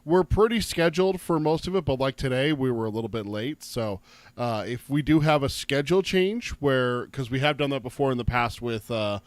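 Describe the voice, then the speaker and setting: A man co-hosting a casual podcast, listing links and social accounts in a brisk, matter-of-fact way, just getting over a cold. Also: mid-range voice, no room sound. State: recovering from a cold, coughing. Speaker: podcast co-host.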